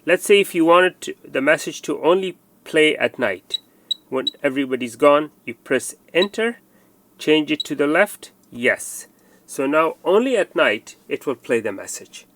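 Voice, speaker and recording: Gravelly man explaining an installation step by step. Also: on the high side, lively intonation, measured pace, fairly bright tone, a little hiss or hum. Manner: explanatory, step-by-step, repeats himself with fillers. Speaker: tutorial presenter. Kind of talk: hands-on product installation tutorial.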